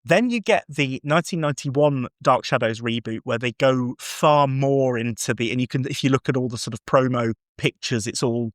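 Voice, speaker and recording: A man with an average-pitched voice talks in a loose, rambling way, like a keen fan hosting a podcast. He is enthusiastic and casually sweary. The sound is dry, with very little room in it. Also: moderate pace, hardly any pauses, dry recording. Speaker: podcast host. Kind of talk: casual film and TV discussion.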